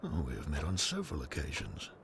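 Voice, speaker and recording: Male actor as lonely elderly man, low-pitched, resigned, halting delivery, audio drama, audible background noise. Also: huge pitch swings, gravelly, exaggerated voice. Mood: wistful, knowing. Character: very old, lonely, weary man resigned to death. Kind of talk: audio drama character acting.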